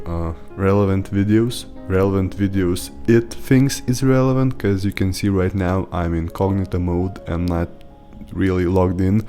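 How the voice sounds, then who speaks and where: Mellow-voiced chatty man with a Lithuanian first language. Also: sits low. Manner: chatty, rambling, rant-like. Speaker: YouTube host, team lead. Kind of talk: casual screen-share tutorial. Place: small home room, indoors.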